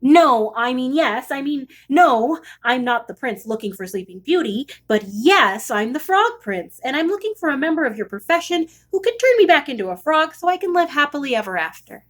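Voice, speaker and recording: A woman's voice: high-pitched, sing-song, moderate pace, few pauses. A woman voicing a hapless, polite storybook prince who pleads and gets flustered. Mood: flustered, pleading. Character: hapless, polite, whiny male fairy-tale frog prince.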